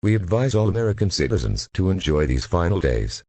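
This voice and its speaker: A man with an extremely low, slightly rough voice delivers a scripted emergency alert. He is solemn and formal, measured and impersonal throughout. The sound is dry and close. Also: very animated, mellow, no room sound.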